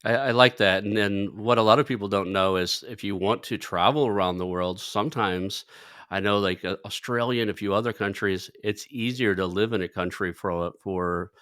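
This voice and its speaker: A man's voice: fairly low pitch, fairly bright tone, clean tone, dry recording, clean studio sound. A man hosting an interview podcast, asking questions casually and often repeating words as he frames them. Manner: halting, repeats words while framing questions. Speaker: podcast host.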